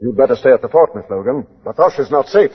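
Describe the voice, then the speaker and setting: An actor playing an authoritative fort commander, a police inspector, with brisk, formal, commanding 1940s radio delivery. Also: male voice, mid-range voice, animated, husky. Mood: urgent. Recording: tinny, narrow 1940s radio recording.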